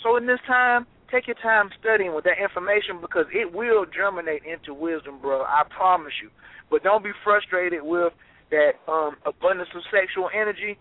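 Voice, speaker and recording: A man with a high voice gives spiritual advice on a call-in radio show, and he gets animated and preachy as he repeats his phrases. The sound is dry and close. It comes over a tinny phone line. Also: moderate pace, no room sound. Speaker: co-host giving advice. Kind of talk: casual call-in radio talk.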